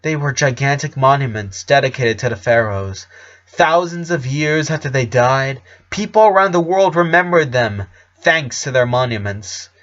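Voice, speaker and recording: A man reading scripted fiction aloud as an expressive narrator. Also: mid-range voice, normal speed, dull audio.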